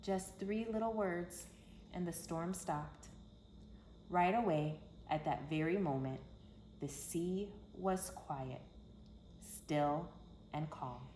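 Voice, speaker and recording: A woman's voice: lowish voice, slow pace, pauses often, warm tone. A woman reading a children's picture book aloud expressively, with dramatic, emphatic phrasing. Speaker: storyteller for children. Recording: echoey room, faint background noise.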